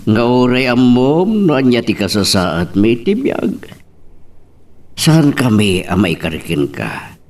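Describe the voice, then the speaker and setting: A man's voice: medium pitch, very animated, slow pace, a little mellow. A man playing a plain-spoken older father who is fond of his daughter, in an Ilocano-language radio drama. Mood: wary, questioning.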